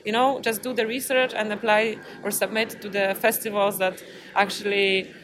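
A woman's voice: medium pitch, little pitch movement, moderate pace. A woman speaking in a casual interview, thoughtful and searching for words as she talks. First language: not English. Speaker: festival programmer and jury member, interview guest. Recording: clearly noisy background ambience.

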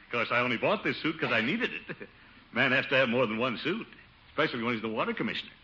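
An actor playing a vain, pompous middle-aged uncle and town official in a 1950s radio sitcom. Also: male voice, mid-range voice, animated, quick pace, bright, forceful tone. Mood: boastful. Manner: pompous, self-satisfied chuckling blusterer. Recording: muffled, lightly noisy old radio broadcast.

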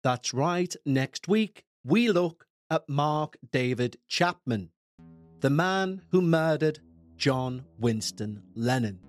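A man with a Liverpool accent narrates a true-crime podcast in a measured, dramatic way. His voice sits a little high for a man. Faint music plays beneath him. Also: animated, dry recording. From England. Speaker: podcast host.

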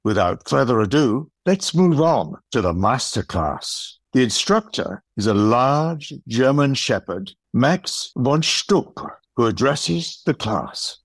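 An actor playing a stately documentary-style narrator, giving formal scripted storytelling for an audio play. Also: male voice, medium pitch, very animated, takes it slowly, few pauses, slightly rough, no echo, full character voice.

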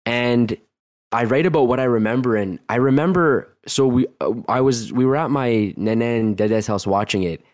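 A man's voice: mid-range voice, moderate pace, darkish tone, no echo, studio quality. A man in his twenties, a guest telling a personal story in a casual interview, rambling with lots of restarts. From Canada. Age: 20s. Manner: rambling, heavy use of "like", frequent restarts.

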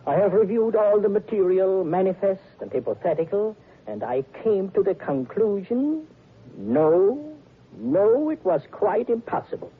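An actor playing an older, verbose, self-satisfied psychoanalyst in a theatrical, pedantic style of old-time radio drama. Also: male voice, high-pitched, sing-song, a little slow, breathy edge, heavily acted. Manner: theatrical, rambling, pedantic, chuckling. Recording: muffled, lo-fi vintage radio broadcast.

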